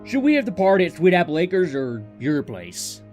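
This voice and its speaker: A man's voice: high voice, big pitch swings, moderate pace, darkish tone, faint music behind. A man voicing a friendly young male cowboy pony in a fan audio drama, with a polite, folksy drawl.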